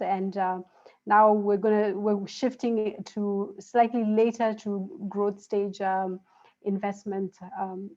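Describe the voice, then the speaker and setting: Female panel moderator, mid-pitched voice, somewhat lo-fi video call audio. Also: warm tone. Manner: uses many "uh" fillers. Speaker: panel host.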